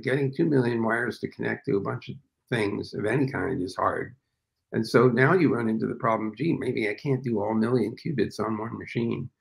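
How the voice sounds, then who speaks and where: A man's voice: fairly low pitch, vocal fry, low-quality recording. An older man speaking as an interview guest over a video call from a home office, explaining thoughtfully with frequent fillers. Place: home office with framed pictures, indoors. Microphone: video call webcam.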